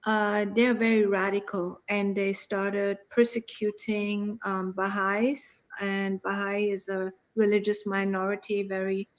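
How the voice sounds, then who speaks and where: A woman interview guest from Iran explains things in a measured, serious way. Her voice sits at a medium pitch and stays fairly flat, with little rise and fall. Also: rough recording. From born in Iran, grew up in Germany and the US. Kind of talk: explanatory personal account in an interview.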